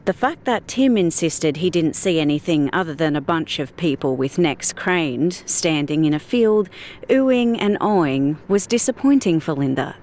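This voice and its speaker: A woman narrating a scripted audiobook story in an expressive storytelling manner. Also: sits low, normal speed.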